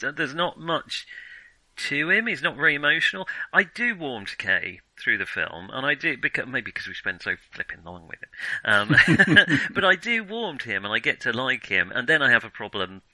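A man's voice: mid-range voice, bright, forceful tone, dry recording, low-quality recording. A man co-hosting a casual film discussion, giving opinions hesitantly as he thinks them through. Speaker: film podcast co-host.

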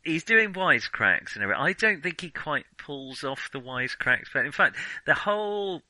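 Guy chatting on a movie podcast, really bright voice, pitch moves around a lot, kinda reflective. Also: big pitch swings, normal speed, no echo. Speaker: film podcast co-host. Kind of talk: casual film discussion.

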